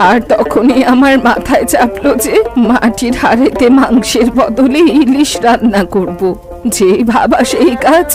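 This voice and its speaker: A woman's voice: fairly high pitch, a little mellow. A woman voicing a homely, chatty elderly village granny in a cartoon, with a folksy, exclaiming manner. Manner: folksy, exclaiming, familiar address to younger villagers. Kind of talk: Bengali cartoon voice acting.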